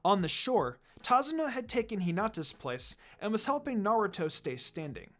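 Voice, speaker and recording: A man narrates an adventure story in a slightly high voice with a very lively, varied delivery. He reads scripted prose dramatically, with music playing underneath. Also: moderate pace. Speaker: fan fiction reader. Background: background music.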